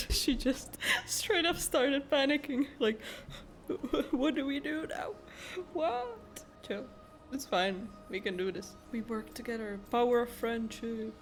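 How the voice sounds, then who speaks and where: A woman's voice: on the high side, slow pace, faint music behind. A woman giving casual, exclamatory reaction commentary while playing a video game, speaking informally in short asides. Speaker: gamer reacting to game cutscenes.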